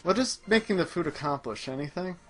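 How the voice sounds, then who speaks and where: A man's voice: on the high side, expressive, fairly quick, soft background music. A man hosting a gaming show, playing and chatting casually, hesitant as he thinks aloud. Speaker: host playing a video game. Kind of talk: casual gaming commentary chat.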